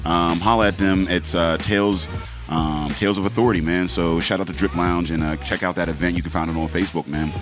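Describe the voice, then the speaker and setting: Male podcast host, deep voice, relaxed plug-reading delivery, studio mic, music bed. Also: a little fast. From Pittsburgh, USA. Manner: laid-back, loose, swearing, many fillers. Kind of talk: casual podcast chat, reading sponsor plugs.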